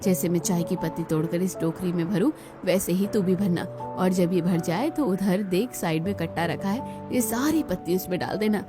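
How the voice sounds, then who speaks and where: A woman's voice: lowish voice, normal speed, natural voice. A woman voicing an older village mother-in-law, proud, practical and kindly, in a cartoon story. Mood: practical, instructing. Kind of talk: scripted cartoon story dialogue. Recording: music behind the voice.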